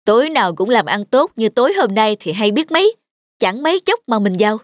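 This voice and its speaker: An actor voicing a down-on-his-luck thief with a soft heart in an animated cartoon, troubled and soothing. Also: sits high, brisk, no room sound, clean studio sound. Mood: scheming. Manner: troubled, soothing, speaking to a baby. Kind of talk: Vietnamese animated cartoon dialogue. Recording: music behind the voice.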